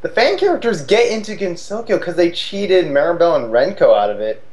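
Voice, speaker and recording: A man's voice: high voice, very animated, brisk, a little mellow. A young man giving casual fan commentary, hesitant and searching for words, on a lo-fi call. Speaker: co-host commentator.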